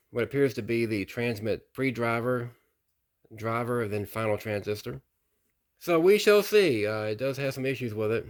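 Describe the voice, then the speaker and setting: A man casually narrating a hobbyist review in an unhurried, folksy, explanatory way. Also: medium pitch. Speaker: radio hobbyist presenting a video.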